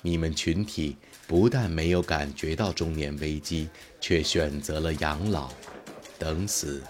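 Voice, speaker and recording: Man voicing a very deep, solemn cosmic being. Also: a little slow, a little hiss or hum. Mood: grave, regretful. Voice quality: booming, sonorous. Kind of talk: audio drama acting.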